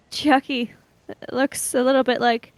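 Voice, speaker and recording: A woman's voice: high-pitched, flat delivery, slow, no room sound. A woman playing a tabletop roleplaying game, speaking and narrating for her character. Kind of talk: roleplay with third-person narration.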